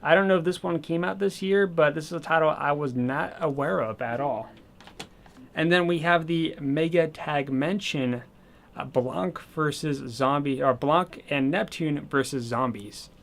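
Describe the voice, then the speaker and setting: Man, slightly hoarse, somewhat high voice, rambling, casual haul vlog. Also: measured pace, fairly bright tone. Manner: chatty and rambling, with many fillers. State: slightly hoarse, losing his voice. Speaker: gaming channel host.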